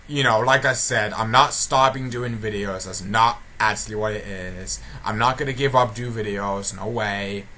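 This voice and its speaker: A man's voice: mid-range voice, pushed and bright. A man giving a casual, rambling update for his video channel, often repeating himself and restarting sentences. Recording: noticeably noisy amateur home recording.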